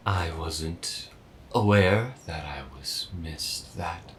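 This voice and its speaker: A man voicing a playful male machine who learned to speak from people, with drawn-out, oddly timed phrasing. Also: low voice, a little hiss or hum. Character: male machine mechanic, playful, odd speech.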